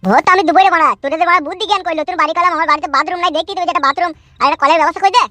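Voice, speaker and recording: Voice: extremely high pitch, rapid-fire, bright, forceful tone, no room sound. A performer with a female-sounding voice playing a brash, foul-mouthed cartoon villager who argues in crude slang. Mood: demanding, brash. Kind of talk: comic cartoon dialogue.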